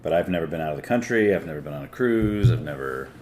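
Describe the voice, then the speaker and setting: A man hosting a relaxed podcast chat in a deep, low voice. His pitch rises and falls widely as he reacts. He talks loosely, with easy slang and quick bursts of excitement. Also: a little hiss or hum. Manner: laid-back surfer-style slang, excitable. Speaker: podcast host. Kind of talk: casual chat.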